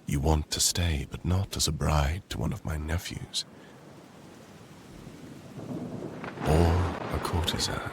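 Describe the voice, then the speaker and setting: Guy doing a commanding king for boyfriend asmr, super deep n gravelly, formal and restrained, rainy storm noise in the back. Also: steady tone. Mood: probing, softening.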